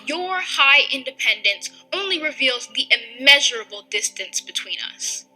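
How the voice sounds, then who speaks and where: A woman reciting a historic speech in a solemn, measured, formal style. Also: sits high, lively intonation, bright, forceful tone, faint music behind, low-quality recording.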